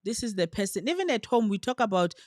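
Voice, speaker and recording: A woman's voice: mid-range voice, very animated, fast talker, dry recording. Earnest woman co-host, dry close sound. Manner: earnest, searching for words, restarts. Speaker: podcast co-host. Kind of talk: casual chat.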